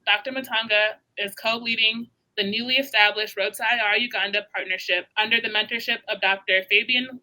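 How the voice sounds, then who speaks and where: A woman with a very bright, sharp-edged voice reads a prepared introduction. Her delivery is steady and careful, as if working from a script over a video call. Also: medium pitch, low-quality recording. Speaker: co-moderator introducing the speaker.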